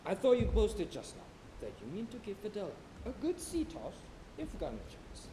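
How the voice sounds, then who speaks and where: A man's voice: high voice, huge pitch swings, moderate pace. A man on stage playing a skeptical sailor who questions and needles his shipmate insistently. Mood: doubting. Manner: insistent, argumentative. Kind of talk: theatrical stage acting. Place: college theatre stage. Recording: roomy auditorium stage recording, some hiss.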